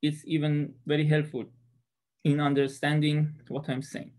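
Persian-accented man giving a halting presentation. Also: on the high side, monotone, a little mellow. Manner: hesitant, many fillers and restarts. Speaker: student presenter. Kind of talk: class presentation lecture.

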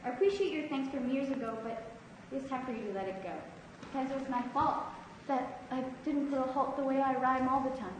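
A woman's voice: high-pitched, brisk, close to an everyday voice. A teenage girl playing a hurt, proud schoolgirl who speaks in rhyme in an amateur stage play. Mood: solemn. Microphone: distant camera microphone. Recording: echoey theatre stage, far off, noisy.

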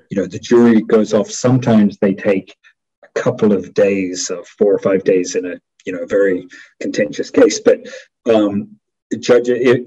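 A middle-aged man with a New Zealand accent, explaining as an interview guest in a measured, hesitant way over a headset on a video call. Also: lowish voice, warm tone, slightly rough. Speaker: interview guest, war crimes prosecutor. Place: small indoor room at home. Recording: dull video-call audio.

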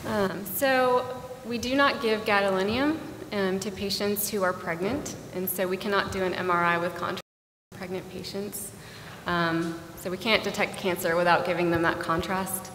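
A woman's voice: mid-range voice, steady tone, normal speed, a bit bright, a little hiss or hum. A woman in her thirties, a radiologist on a conference panel, explaining carefully with frequent ums. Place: large conference ballroom. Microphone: table microphone. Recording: echoey hall with long reverb.

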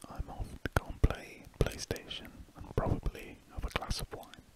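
A man with an English accent whispering breathily in an unhurried ASMR ramble, murmuring his thoughts as he draws. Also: low-quality recording. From England. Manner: unhurried, murmured thinking aloud. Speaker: ASMR drawing host. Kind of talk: ASMR rambling while drawing. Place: indoors at a lamp-lit desk.

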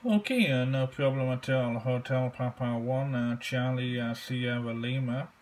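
Male ham operator, unhurried, halting delivery, careful phonetic spelling and repetition. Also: medium pitch. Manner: halting, deliberate, repeats key phrases. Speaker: amateur radio operator.

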